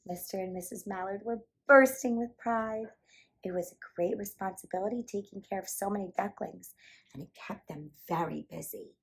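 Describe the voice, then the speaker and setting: A woman reading a children's picture book aloud as a storyteller, in an animated, emphatic way meant for young listeners. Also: mid-range voice, moderate pace, creaky, low-quality recording.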